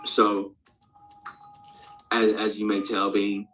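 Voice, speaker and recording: A male speaker of around forty from Australia, practising an American accent in a rambling, halting, candid and sweary casual monologue. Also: lowish voice, completely flat delivery, a bit bright, soft background music, poor audio. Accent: American, put on over native Australian. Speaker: actor introducing themself.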